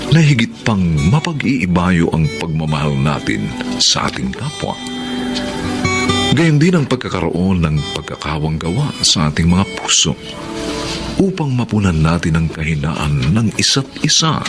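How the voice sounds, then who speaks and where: A man's voice: low voice, big pitch swings, normal speed. A man with a Filipino accent, narrating a radio drama as host in a solemn, dramatic, reflective style. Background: loud guitar music.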